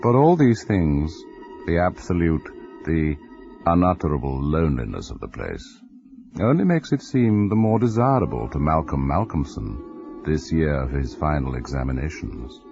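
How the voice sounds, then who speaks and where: A very low, sinister storyteller narrates radio horror with a theatrical, varied delivery. The broadcast is slightly muffled, with faint music beneath. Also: male voice, very animated, creaky, a little mellow, exaggerated voice. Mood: ominous. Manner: ominous, deliberate, theatrical. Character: sinister, macabre male storyteller host.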